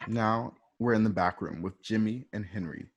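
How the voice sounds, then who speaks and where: A man narrating a scripted radio play, setting each scene in a measured present-tense delivery. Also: on the low side, creaky, breathy edge, dull audio.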